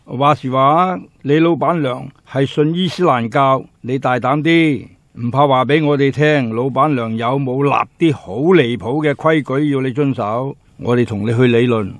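A man's voice: medium pitch, normal speed, hardly any pauses, dry recording, natural voice. An actor playing a gossipy, exclamatory male teahouse customer with a Hong Kong accent in a radio drama. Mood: goading. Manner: exclamatory, goading.

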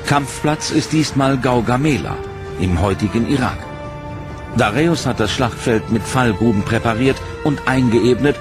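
Man narrating a documentary over music. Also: medium pitch. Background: dramatic music.

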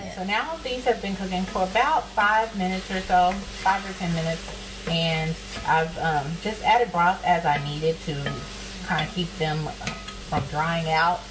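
Low-voiced chatty woman, lilt. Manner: chatty, folksy, calls viewers honey and sis. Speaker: cooking vlogger. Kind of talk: casual cooking demo. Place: home kitchen, indoors. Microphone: camera nearby, speaker off to the side. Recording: kitchen with frying noise. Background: sizzling pan and stirring.

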